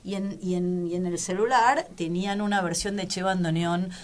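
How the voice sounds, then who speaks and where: A woman in her forties with a Rioplatense Argentine accent, a tango musician and teacher speaking as a radio interview guest, halting and often restarting her words. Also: lowish voice. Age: 40s.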